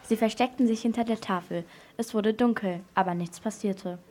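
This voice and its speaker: A woman's voice: medium pitch, moderate pace, a little mellow, soft background music. A female narrator telling a scripted story in a children's radio play.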